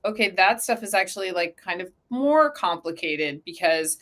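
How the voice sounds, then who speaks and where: A woman's voice: lowish voice, moderate pace. A woman talking casually as an interview guest, explaining things and acting out little imagined quotes as she goes, peppered with "like". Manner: animated quoting of imagined speakers, frequent "like". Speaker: interview guest, company co-founder.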